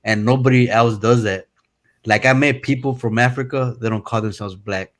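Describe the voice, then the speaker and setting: A man's voice: medium pitch, normal speed. A man from Mexico whose first language is Spanish, calling into a live stream and talking casually and bluntly. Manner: relaxed, rambling, blunt with profanity. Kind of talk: casual opinionated chat.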